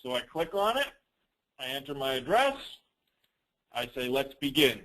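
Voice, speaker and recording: A man presenting a business training call as an informal, rambling software demo, with teasing, self-deprecating asides. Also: mid-range voice, big pitch swings, moderate pace, poor audio.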